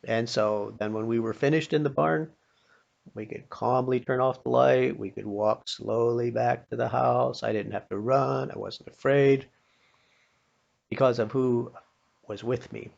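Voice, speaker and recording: An older American man telling informal inspirational stories in a folksy, plain-spoken manner, pausing now and then. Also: mid-range voice, fairly even intonation, creaky, darkish tone, dull audio. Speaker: storyteller sharing childhood memories with a religious lesson.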